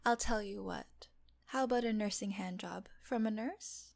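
A woman voicing a teasing, doting female nurse in an intimate audio roleplay, talking softly and often in a whisper. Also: mid-range voice, normal speed, gravelly, close to an everyday voice. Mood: teasing, coaxing.

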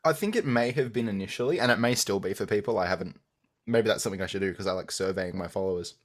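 Young Australian man, lowish voice, thoughtful and measured, restarts often; interview guest. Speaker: guest, audio content creator. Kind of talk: casual interview answers.

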